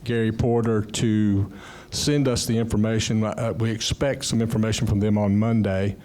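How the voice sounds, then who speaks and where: Low, flat-voiced man giving a report. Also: normal speed. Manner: plain, steady, some fillers. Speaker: board member giving a finance report. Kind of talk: meeting report. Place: condominium board meeting room.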